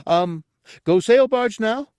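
A man voicing a dim-witted, excitable, childlike alien guard who speaks eagerly and emphatically in broken, simple grammar, in a storybook reading. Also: on the high side, moderate pace, dry recording, studio quality, pitched above the performer's own voice. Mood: hopeful.

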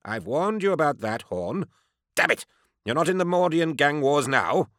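A man's voice: on the high side, lively intonation, moderate pace, fairly bright tone, dry recording, studio quality, pitched above the performer's own voice. A man voicing a haughty, querulous academic, pompous and imperious, in an audiobook. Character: haughty, querulous male academic doctor, piqued and self-important.

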